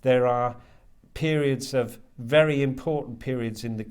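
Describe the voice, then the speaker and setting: A man with a mid-pitched voice talks as a lecturer being interviewed. He is reflective and halting, often doubling words and circling gradually toward what he means. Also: darkish tone, clean tone. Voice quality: hesitant, halting delivery with repeated words. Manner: thoughtful, circling toward his point. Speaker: university creative writing lecturer being interviewed.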